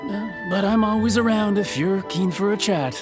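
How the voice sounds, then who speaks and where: A man's voice: sits high, normal speed, over music, natural voice. A man voicing a genial, weary old carpenter in a video game, with a folksy, hesitant way of talking.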